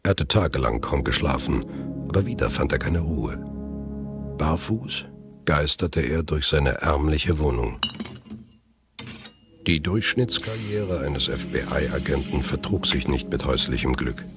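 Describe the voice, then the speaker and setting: A man's voice: extremely low pitch, lively intonation, slow, long pauses, music in the background. A man narrating a scripted German thriller audio drama as the storyteller.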